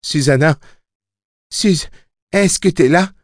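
Man voicing an urgent, high-pitched young boy. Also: slow pace, dry recording, clean studio sound. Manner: urgent, exclaiming.

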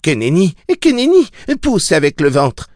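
A man's voice: fairly high pitch, wildly swooping pitch, unhurried, darkish tone, dry recording, studio quality, voice pushed up. A man voicing a rat-headed female nurse, insistent and fussy, with quaint old-fashioned wording, in an audiobook story. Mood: urging, insistent.

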